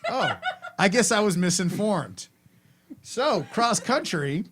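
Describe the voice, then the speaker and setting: A man's voice: high-pitched, very animated, slow pace, background noise. A man hosting a school's afternoon announcements, friendly and instructive, repeating his points to students.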